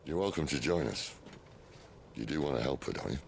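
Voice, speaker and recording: An actor playing a worldly, gruff middle-aged outlaw leader in a video game cutscene, speaking in a dry, wry, unhurried way. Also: male voice, very deep voice, lively intonation, a little hiss or hum, heavily acted. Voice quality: gravelly. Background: orchestral game score.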